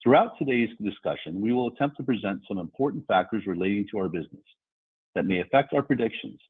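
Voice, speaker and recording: Lowish husky man on a phone line. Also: lively intonation, quick pace. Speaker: investor relations executive. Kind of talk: scripted formal disclaimer reading. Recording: tinny phone-like conference line.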